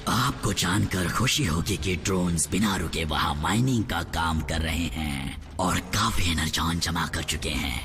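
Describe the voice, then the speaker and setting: A man acting out a scheming robot underling in a cartoon, deep-voiced and oily as he flatters his master. Music runs under the scene. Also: unhurried, hardly any pauses. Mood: sly. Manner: fawning, sly. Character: scheming male robot lieutenant, servile. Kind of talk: Hindi cartoon dub.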